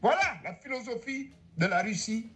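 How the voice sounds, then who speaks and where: High, lively man giving an oratorical speech. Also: normal speed, a little hiss or hum. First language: Ngomienne. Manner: oratorical, sweeping, rhetorical. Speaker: conference speaker. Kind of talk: public conference speech.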